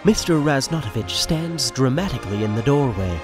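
A man's voice: medium pitch, expressive, brisk, music in the background. A man giving scripted, theatrical narration for a radio play.

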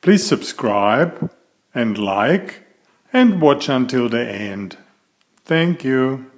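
A man reading a picture book aloud to young children in an expressive, deliberate storytelling manner. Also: mid-range voice. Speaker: picture-book reader and author of children's stories.

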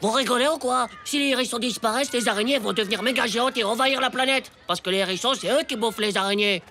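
A woman voicing a cocky, excitable ten-year-old boy in a cartoon, slangy and boastful. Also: on the high side, fast. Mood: indignant. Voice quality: childlike, slangy. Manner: boastful, blustering, hesitant when caught out. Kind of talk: French TV cartoon dubbing.

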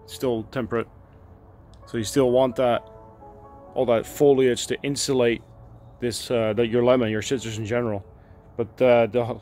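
Relaxed man touring his garden. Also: mid-range voice. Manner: relaxed, enthusiastic, chatty with fillers. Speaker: gardening channel host. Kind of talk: casual garden tour narration. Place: outdoors in a home garden.

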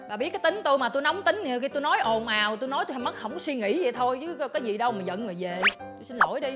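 A woman's voice: sits high, lively intonation, racing, music in the background. An actress playing a touchy, boastful middle-aged rich mother in a comedic drama, scolding and exclaiming in a Southern Vietnamese accent. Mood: pleading, grumbling.

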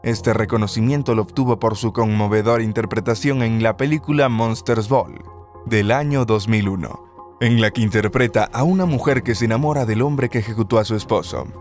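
A man narrates a scripted countdown with a steady, even delivery. His voice sits at a mid pitch, neither deep nor high, and a faint music bed runs quietly beneath him.

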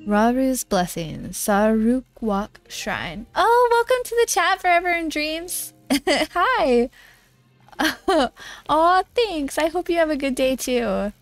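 A woman's voice: sits high, expressive, slow, faint music behind. A young woman with a nasal, congested voice, chatting in a bubbly, giggly way with viewers while streaming a game. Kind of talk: casual live-stream chat while gaming.

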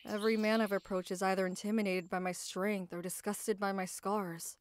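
A woman's voice: medium pitch, level tone, fairly quick, lightly acted. A woman playing a tough, proud female knight, guarded about love, speaking earnestly and formally in an anime ASMR roleplay. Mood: wistful.

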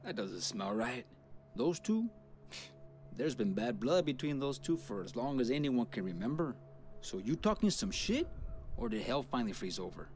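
An actor playing a hot-tempered, paranoid gangster in a video game, with a Mexican Spanish accent and a swaggering, foul-mouthed delivery. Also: male voice, mid-range voice, big pitch swings, normal speed, darkish tone, soft background music, close to an everyday voice. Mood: suspicious, mocking. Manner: swaggering, swearing, code-switching into Spanish. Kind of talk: video game character acting.

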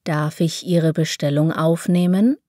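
A woman reading German lesson dialogues, taking every part herself with pauses between phrases. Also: low voice, steady tone, mellow, no echo, studio quality. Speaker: German reader for a language lesson. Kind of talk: slow, clearly spaced phrase reading.

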